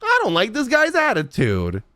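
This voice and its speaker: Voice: mid-range voice, huge pitch swings, normal speed, pushed and bright, no echo, clean studio sound. A streamer giving casual, playful and jokey gaming reaction commentary in slangy speech.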